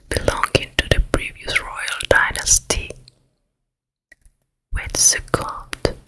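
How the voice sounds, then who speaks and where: A woman voicing a vain, jealous, wicked fairy-tale queen stepmother in a hushed, deliberate whisper for an ASMR roleplay. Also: poor audio. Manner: hushed, slow, deliberate, menacing.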